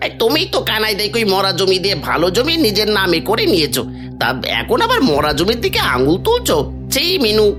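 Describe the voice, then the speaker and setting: A man's voice: high voice, huge pitch swings, a little fast. A man playing an honest, exasperated village husband in a cartoon folk tale, reproachful and moralizing. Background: background music.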